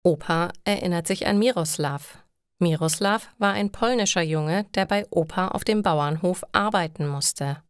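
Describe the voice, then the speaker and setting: A woman reading scripted stories for language learners in a clear, deliberate narrating style. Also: on the low side, no room sound, clean studio sound.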